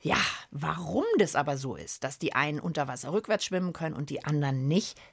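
A woman's voice: deep voice, a little fast, gravelly, husky. A woman co-hosting a children's science show in a playful, conversational way, speaking straight to her listeners. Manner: playful, addresses listeners directly. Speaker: co-host of a children's science podcast.

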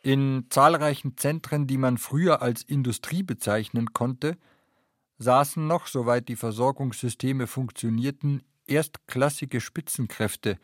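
Man, medium pitch, close dry sound. Measured, factual narration of literary text. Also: dry recording.

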